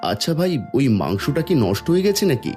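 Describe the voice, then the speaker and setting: A man's voice: a little high, big pitch swings, fast, a little mellow, soft background music. A man voicing a greedy, sly village food-stall owner in a cartoon moral story. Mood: bargaining.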